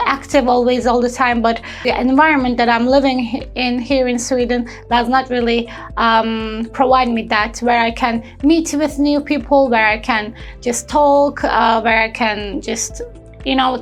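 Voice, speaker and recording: A young woman shares her own story in an earnest, unscripted way. Her voice sits fairly high, and she leans on fillers and restarts while she searches for the right words. Soft music plays faintly behind her. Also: steady tone, measured pace. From Turkey. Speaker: intern and entrepreneur. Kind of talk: personal testimonial interview.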